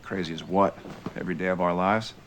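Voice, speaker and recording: An actor playing an earnest, insistent younger brother in a supernatural TV drama. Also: male voice, low voice, fairly even intonation, fast, slight background noise, natural voice. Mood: earnest, explaining. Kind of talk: TV drama acting.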